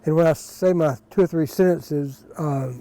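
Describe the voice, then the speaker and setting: An older man from North Carolina, an organic vegetable farmer, talking slowly with many pauses in a documentary interview. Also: on the high side, mellow. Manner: slow, unhurried, with many pauses and fillers.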